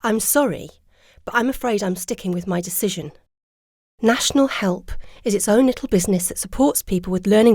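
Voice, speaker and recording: A woman's voice: mid-range voice, mellow, no room sound, studio quality, close to an everyday voice. Woman playing a firm, earnest charity worker. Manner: earnest, plain-spoken. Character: firm principled female leader of small charity group. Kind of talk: audio play acting.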